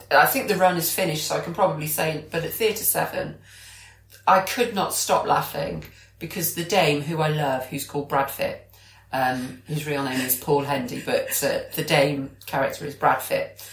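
A British woman co-hosting a podcast, chatting casually and enthusiastically. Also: deep voice. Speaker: podcast co-host. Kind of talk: casual chat. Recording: echoey room.

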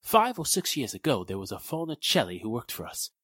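Actor as theatrical, excitable 1940s radio drama businessman. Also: mid-range voice, brisk, no echo, clean studio sound. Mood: dawning realization. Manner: theatrical, emphatic, faltering when challenged. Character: excitable, evasive businessman Mister Lawton, a murder suspect.

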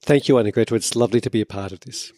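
A man gives considered answers as a panel guest. His voice is fairly low and his phrasing is articulate and measured. The recording is dry, free of room sound. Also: dark tone, no echo. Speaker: panel guest, arts educator.

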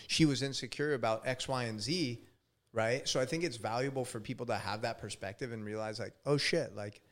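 Man, 30s, podcast host, casual talk, lots of filler words, relaxed. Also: medium pitch, brisk. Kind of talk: casual interview chat.

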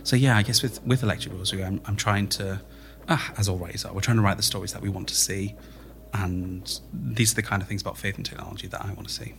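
A man's voice: fairly low pitch, steady tone, fast talker, vocal fry, fairly bright tone. A man speaking as an interviewed playwright, explaining his thinking in a reflective, conversational way.

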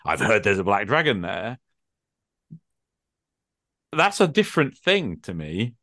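Low-voiced middle-aged man explaining things conversationally. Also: very animated, unhurried, bright, forceful tone, dry recording, studio quality. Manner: explanatory, checks in with tag questions. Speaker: podcast host and game master. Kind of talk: extended casual discussion of tabletop gaming.

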